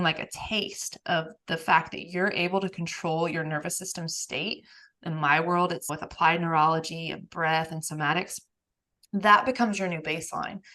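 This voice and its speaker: Female podcast host, lower register, animated and emphatic delivery. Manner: emphatic and animated, laughs often. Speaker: podcast host, nervous system coach. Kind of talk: casual podcast conversation.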